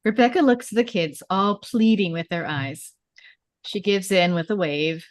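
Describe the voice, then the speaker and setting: A woman's voice: mid-range voice, a little slow, no echo. A woman narrating a script at a table read, reading out scene headings and stage directions.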